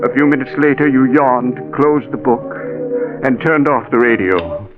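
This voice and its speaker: A man's voice: mid-range voice, a little slow, over music, lightly acted. An actor playing an earnest dead man's spirit, narrating solemnly and theatrically in 1940s radio style. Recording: thin, phone-like old radio recording.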